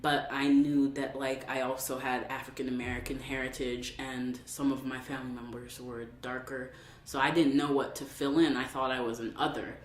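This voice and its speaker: A woman's voice: rumbling low, little pitch movement, moderate pace. A young woman in an interview, earnestly telling a story from memory. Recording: roomy with faint hiss.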